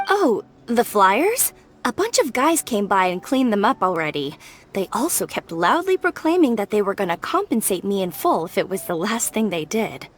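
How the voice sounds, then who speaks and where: A woman's voice: medium pitch, very animated, moderate pace, a little hiss or hum, close to an everyday voice. A woman voicing a friendly young shopkeeper in a game, chatty and unbothered. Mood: cheerful, chatty. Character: friendly, easygoing young female grocery shopkeeper. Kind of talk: anime-style game dialogue.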